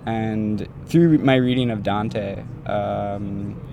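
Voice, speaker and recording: A man's voice: on the low side, fairly even intonation, very slow, gravelly, narrow, tinny audio, noisy background. A young man in his twenties, a doctoral student, telling his story in a casual, rambling way full of fillers like "like" and "um". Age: 20s.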